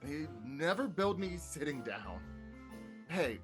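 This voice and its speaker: Man voicing old cartoon snowman, slightly high voice, folksy, wistful, music behind. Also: sing-song, takes it slowly. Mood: fatherly, wistful. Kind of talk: live table read of a comedy film script.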